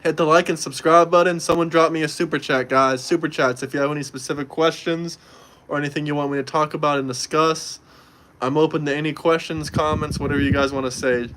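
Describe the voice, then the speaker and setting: A man's voice: on the high side, a bit bright. A young man from Florida hosting a casual livestream, chatting loosely and answering viewer comments, often repeating himself. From Florida, United States. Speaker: livestream host. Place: indoors in Montenegro.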